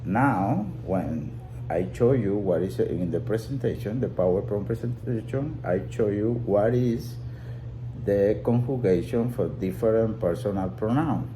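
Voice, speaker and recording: A man with a Spanish accent, a native Spanish speaker, teaching a language class in a deliberate, halting way and repeating key phrases for learners. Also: on the low side, noisy background. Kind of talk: classroom lesson explanation.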